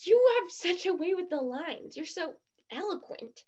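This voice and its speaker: Woman playing an eager, high-voiced teenage girl, muffled. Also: expressive, a little slow, warm tone. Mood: excited, impulsive. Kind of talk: one-act stage play acting.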